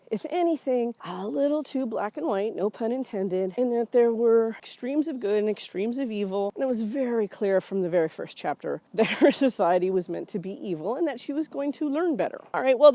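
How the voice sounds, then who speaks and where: A woman's voice: medium pitch, dark tone, dry recording. Sardonic woman reviewer with a cold. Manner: opinionated, sardonic, mocking asides. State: has a cold. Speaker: book reviewer. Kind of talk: spoken book review monologue.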